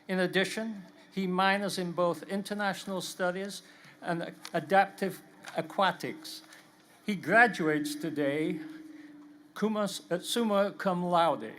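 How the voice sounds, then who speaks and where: A man's voice: high-pitched, slow pace, a bit bright. A man introducing a speaker in a formal, scripted way at an outdoor stadium ceremony, using a podium microphone. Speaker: ceremony presenter.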